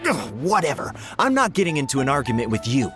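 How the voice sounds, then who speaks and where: Man playing animated, earnest young assistant. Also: mid-range voice, fast, music in the background, lightly acted. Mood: exasperated. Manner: earnest, expressive. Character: earnest, dutiful, easily exasperated young male assistant. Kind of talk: video game voice acting.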